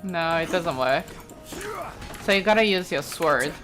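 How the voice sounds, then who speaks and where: A woman's voice: lowish voice, expressive, unhurried. A woman giving casual, chatty gameplay commentary, thinking aloud as she plays. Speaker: let's play host. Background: game music, keyboard clicks.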